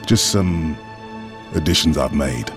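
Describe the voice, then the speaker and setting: A big veteran soldier in a sci-fi game. His low voice is gruff yet respectful, terse and plainspoken, with music behind it. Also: slow. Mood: subdued, hesitant. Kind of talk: dramatic game cutscene acting.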